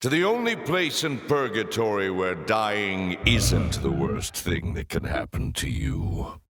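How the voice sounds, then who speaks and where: A suave demon tempter from a video game, deep and slow. His sardonic, grandiloquent lines rise and plunge theatrically, all mock politeness and sly menace. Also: very animated, fairly bright tone, heavily acted. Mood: taunting, playful. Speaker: arena master taunting the player. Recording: echoey hall reverb.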